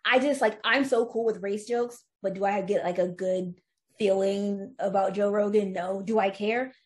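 A woman's voice: mid-range voice, steady tone. A young woman talking bluntly and profanely as a guest on a casual podcast. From United States. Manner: blunt, profane, fast-changing opinions.

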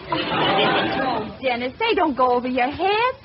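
A woman's voice: high-pitched, very animated, slow, a loud crowd, full character voice. An actress playing a bossy, wheedling teenage girl in a theatrical 1940s radio comedy style. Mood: brisk. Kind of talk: 1940s radio sitcom acting. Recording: dull, noisy old radio recording with studio audience.

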